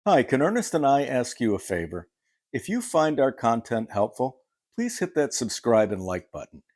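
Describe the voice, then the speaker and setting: A man's voice: medium pitch, sing-song, a little mellow, dry recording. Effusive male host, dry close sound. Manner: effusive, quick to praise. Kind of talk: video-call interview and home tour.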